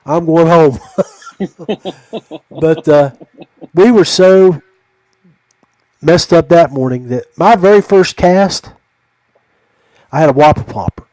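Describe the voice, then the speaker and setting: A man's voice: fairly high pitch, takes it slowly, long pauses, mellow, no echo, low-quality recording. A man chatting casually as a podcast host, telling stories in a rambling, folksy way. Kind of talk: casual chat, storytelling.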